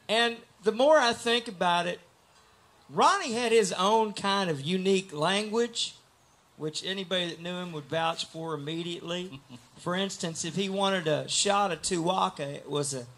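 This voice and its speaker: A man's voice: high-pitched, unhurried, a bit bright. A middle-aged man, a rambling, wordy storyteller, chatting and singing on an outdoor beer garden stage into a stand mic. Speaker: singer-songwriter. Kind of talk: live stage banter and singing. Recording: live guitar under voice.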